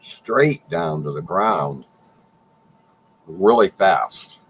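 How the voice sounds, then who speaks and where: A man with a very deep, low voice explains things in a plain, matter-of-fact way while co-hosting a casual livestream chat. A faint hiss runs behind him. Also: animated, extremely slow, a bit bright. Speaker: livestream co-host.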